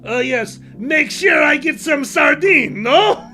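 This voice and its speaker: A man's voice: very high voice, animated, takes it slowly, sharp tone, shouting, soft background music, voice pushed up, full character voice. A man playing a fussy, indignant French gourmand with a muddled mock accent and haughty, exaggerated delivery. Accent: put-on mock French.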